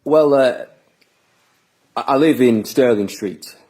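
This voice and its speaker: A man's voice: medium pitch, takes it slowly, warm tone, rough recording. An actor playing a hesitant, ailing male resident in an audio drama. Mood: hesitant, worried. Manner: hesitant, reluctant. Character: uneasy, ailing male resident living alone. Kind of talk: naturalistic audio drama acting.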